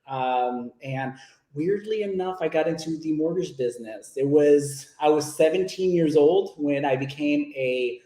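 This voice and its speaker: A man's voice: fairly high pitch, unhurried, dark tone. Chatty man with an echoey sound. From Miami, Florida. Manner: chatty, laughs easily, storytelling. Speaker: guest, loan originator. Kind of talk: casual video chat interview. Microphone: video call.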